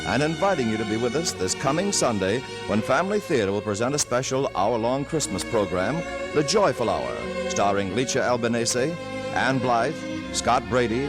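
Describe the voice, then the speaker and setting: A man reading formal announcements as a mid-century radio announcer. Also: medium pitch. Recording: old radio broadcast, loud music behind.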